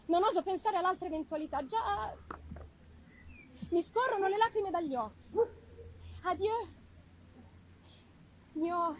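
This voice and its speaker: A woman voicing an affectionate, anxious young gentlewoman in a theatrical, unhurried reading of a letter from a gothic novel. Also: very high voice, a little hiss or hum, full character voice. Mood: anxious, pleading. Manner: expressive, unhurried dramatic delivery with pauses. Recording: live music behind the voice.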